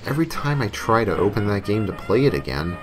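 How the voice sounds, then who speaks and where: A man's voice: fairly low pitch, sing-song, quick pace. A man reading a scripted first-person creepypasta story as its narrator. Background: music.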